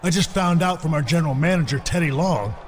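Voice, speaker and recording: An actor playing a confident, sarcastic wrestling champion in a video game cutscene. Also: male voice, on the high side, expressive, fast, a little hiss or hum, lightly acted. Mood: cocky. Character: cocky male wrestling champion, quick with comebacks.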